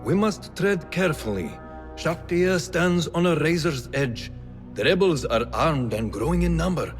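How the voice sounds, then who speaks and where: This is a cautious mentor who weighs every word. He gives grave, measured counsel, his pitch moving widely, while clear music plays underneath. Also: expressive. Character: wise older male mentor, cautious. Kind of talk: video game cutscene acting. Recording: music playing behind.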